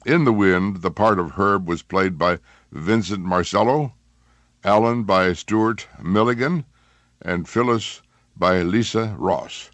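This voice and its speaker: Guy announcer reading scripted credits, slow n measured, low-ish, very dry sound. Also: fairly bright tone, no echo. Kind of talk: scripted narration.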